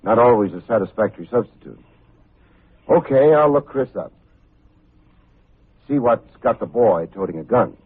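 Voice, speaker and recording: An actor playing a cool, wry, hard-boiled private investigator who talks and narrates in old-time radio drama style. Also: male voice, lowish voice, expressive, slightly rough. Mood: probing. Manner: wry, unhurried, wisecracking. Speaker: actor playing the lead detective, also narrating. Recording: muffled narrow-band old radio recording, faint music.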